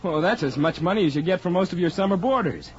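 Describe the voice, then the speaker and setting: A man acting a breezy, excitable young American college sidekick in a 1940s radio drama, boyish and casual. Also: sits high, fast. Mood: upbeat, helpful. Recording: muffled vintage 1940s radio broadcast.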